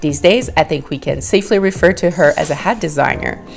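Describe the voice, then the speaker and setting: A woman's voice: deep voice, fairly quick, gravelly, faint music behind. A woman hosting an interview podcast, reading a scripted introduction and then chatting casually with her guest. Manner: warm scripted intro, then relaxed conversational. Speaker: podcast host.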